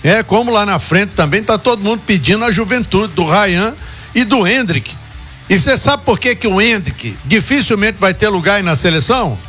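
A man's voice: high voice, expressive, normal speed, bright, forceful tone. A man giving his opinions as a commentator on a radio football talk show, sure of what he says. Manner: opinionated, confident. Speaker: sports commentator. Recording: radio broadcast with music behind.

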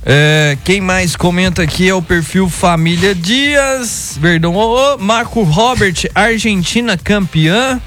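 A man's voice: high-pitched, slow pace, few pauses, fairly bright tone, a little hiss or hum. A man, a radio co-host who reads out listener comments and laughs along with the banter. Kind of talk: casual sports talk banter.

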